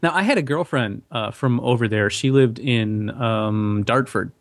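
A man's voice: medium pitch, measured pace, no room sound, clean studio sound. An American man chatting casually in an easygoing way, laughing often. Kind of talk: casual podcast chat.

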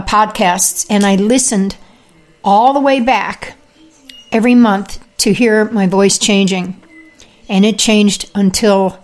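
A woman hosting her own podcast, talking casually with fillers and throat clearing, telling her own experience. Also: mid-range voice. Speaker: podcast host; health practitioner with patients. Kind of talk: casual monologue.

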